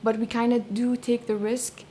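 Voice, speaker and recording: Woman, young adult, Kurdish accent, thoughtful interview answers, monotone, faint hiss. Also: a little high, moderate pace. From Iraq, Kurdish region. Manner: reflective, many ums and restarts. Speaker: poet and translator.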